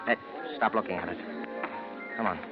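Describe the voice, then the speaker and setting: A man's voice: lowish voice, fairly even intonation, a little slow, natural voice. A man playing a scheming, possessive attorney in a 1940s American radio drama, theatrical and oily with a menacing edge. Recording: dull vintage radio recording with music.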